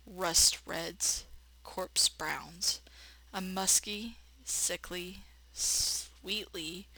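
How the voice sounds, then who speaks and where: Woman, let's play host, slightly low bright voice, dramatic reading, slangy asides. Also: no room sound, rough recording. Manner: slangy, irreverent. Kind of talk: dramatic game reading with casual joking commentary.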